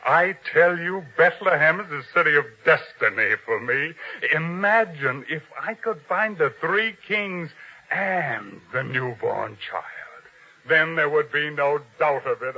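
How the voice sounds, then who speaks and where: Imperious officer, very sharp voice. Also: male voice, on the high side, exaggerated voice. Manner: imperious, interrogating, threatening. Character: ambitious, menacing palace officer, commanding man. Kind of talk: old-time radio drama acting. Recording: dull old radio recording.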